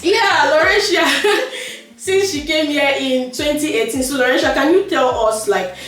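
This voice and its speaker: A young woman with a high voice hosts a relaxed chat, bubbly and quick to laugh. She sounds far from the camera mic, in a strongly echoing room. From Nigeria. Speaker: vlog host. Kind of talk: casual group chat.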